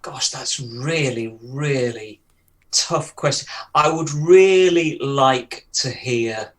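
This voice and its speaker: A man's voice: mid-range voice, slow pace, fairly bright tone. A man co-hosting a podcast, thinking aloud with many restarts and hesitations. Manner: thoughtful, hesitant, restarts often. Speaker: podcast co-host. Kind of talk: casual podcast discussion.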